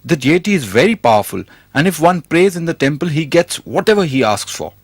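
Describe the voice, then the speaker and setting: An actor playing an eager, reverent courtier in an animated moral story, addressing his emperor in a formal, heraldic way. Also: fairly high pitch, fairly quick, husky, no echo, clean studio sound, exaggerated voice. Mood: reverent, persuasive.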